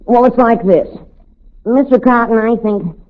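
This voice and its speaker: An earnest lovesick teenage boy, tinny radio. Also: very high-pitched. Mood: indignant. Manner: halting, boyish slangy talk. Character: earnest, indignant lovesick high school boy. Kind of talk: 1940s radio comedy acting. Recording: thin, tinny old-time radio recording.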